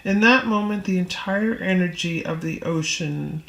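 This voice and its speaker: Slow-reading woman in an echoey room. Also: fairly low pitch, gravelly, fairly bright tone. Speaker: reader. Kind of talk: audiobook-style reading of a spiritual text.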